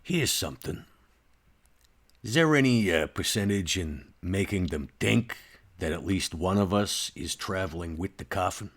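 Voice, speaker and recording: A man puts on a hard-boiled vampire tough guy for a tabletop game. The voice is extremely deep, gravelly and husky. He talks in blunt street slang. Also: animated, unhurried, heavily acted. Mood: businesslike.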